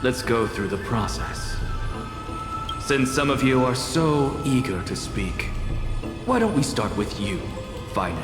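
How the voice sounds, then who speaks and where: Snooty impatient game professor, slow dramatic talk, voice goes up and down, big echoey hall. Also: male voice, mid-range voice, big pitch swings. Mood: grudging. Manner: pompous, theatrical, condescending. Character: haughty, impatient male professor and sage, sharp-tongued and dismissive. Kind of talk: scripted video game voice acting. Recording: echoey hall reverb under music. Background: music.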